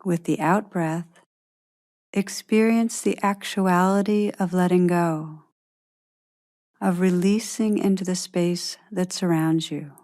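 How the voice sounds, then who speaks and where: Female meditation guide, lower-pitched, very slow and gentle, pausing often. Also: steady tone. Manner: gentle, unhurried, long pauses.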